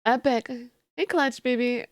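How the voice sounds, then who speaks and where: A woman's voice: fairly high pitch, measured pace, slight background noise. Sleepy, chatty woman streamer. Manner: chatty, thanks viewers warmly and giggles. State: sleepy, just woke up. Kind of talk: casual live-stream chat.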